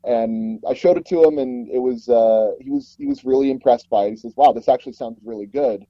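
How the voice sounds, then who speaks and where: A man's voice: mid-range voice, fairly quick, no room sound, lo-fi sound. A man speaking as an interview guest, an audio drama producer telling casual stories and acting out the dialogue he quotes. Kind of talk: casual anecdotal interview storytelling.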